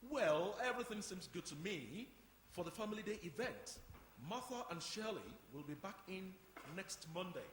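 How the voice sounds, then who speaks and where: A man's voice: high voice, big pitch swings, normal speed. A man playing a fussy, defensive community centre manager with a formal, precise way of speaking in a stage play. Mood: businesslike. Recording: noisy echoey hall, live performance.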